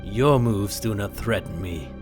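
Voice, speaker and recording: A man's voice: on the low side, unhurried, music in the background, lightly acted. A man playing a confident, grandiose card duelist in an audio drama, formal and defiant. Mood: defiant, cool. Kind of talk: fan audio drama acting.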